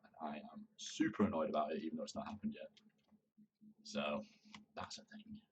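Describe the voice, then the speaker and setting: A man from Manchester, hoarse from a sore throat, chatting casually and swearing as he streams his gameplay. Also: extremely low pitch, steady tone, moderate pace, distant mic, slight background noise. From Manchester, England. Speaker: game streamer. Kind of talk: casual live gameplay commentary.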